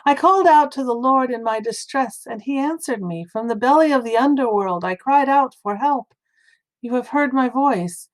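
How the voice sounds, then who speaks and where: A woman's voice: high-pitched, normal speed, voice pushed up, lightly acted, rough recording. A woman voicing an earnest, distressed male prophet, fervent and solemn, in a scripture story reading.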